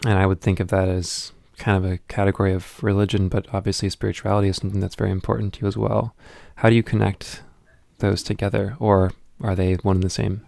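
Low gravelly male interviewer. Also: no room sound, clean studio sound. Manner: calm, measured, gently probing. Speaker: interviewer, host. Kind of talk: interview questions.

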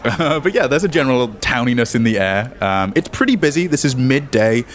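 A man's voice: medium pitch, expressive, brisk. A man narrating as game master, mixing descriptive scene-setting with wry, joking asides.

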